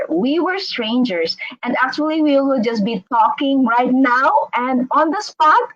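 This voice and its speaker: Woman, interview host, raised pitch, excited chatty delivery, muffled video call audio. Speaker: host interviewing a guest on a livestream. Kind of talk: casual live interview chat. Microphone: live video call.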